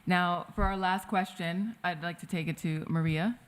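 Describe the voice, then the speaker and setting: A woman's voice: lowish voice, steady tone, moderate pace, clean tone. A woman moderating a summit panel in a friendly, upbeat way, in a conference room.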